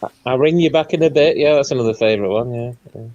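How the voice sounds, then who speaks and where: A man's voice: mid-range voice, fast talker, warm tone, dry recording, muffled recording. A man chatting on a live stream in a dry, self-mocking way, with lots of yeahs. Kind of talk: casual chat on a live stream.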